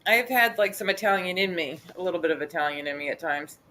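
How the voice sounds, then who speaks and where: A woman's voice: on the low side, lively intonation, fast, pushed and bright. A woman hosting a casual live hangout, chatting informally. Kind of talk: casual chat. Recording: clear background noise.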